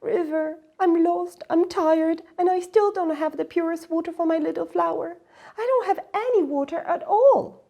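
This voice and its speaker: A woman voicing an excitable, impatient little girl in a children's storybook, with urgent, pleading delivery. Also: very high voice, normal speed, gravelly, pitched above the performer's own voice. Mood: tired, pleading. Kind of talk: children's storybook read-aloud.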